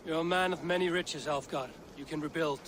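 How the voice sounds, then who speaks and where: Guy doing a blunt viking fighter, dry and sure, slightly high voice, noisy game ambience. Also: fairly even intonation, fairly quick, a bit bright, close to an everyday voice. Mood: steady. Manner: dry, terse, self-assured. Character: composed, wry, blunt Norse male Viking warrior. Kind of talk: video game cutscene acting.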